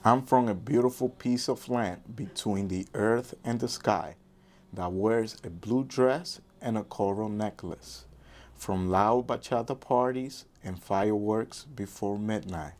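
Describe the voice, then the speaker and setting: A man reads a personal poem in a lyrical way, with a somewhat low voice. His delivery is measured and careful. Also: a little mellow.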